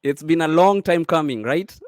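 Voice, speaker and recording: A man's voice: a little high, no room sound, studio quality. A man hosting an online interview show, upbeat and chatty, with lots of fillers. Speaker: host.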